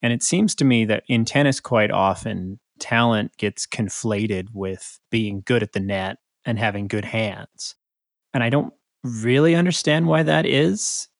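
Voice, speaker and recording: A man's voice: on the low side, measured pace, creaky, no echo, clean studio sound. A man co-hosting a podcast, talking through sports in a casual, analytical chat. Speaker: podcast co-host.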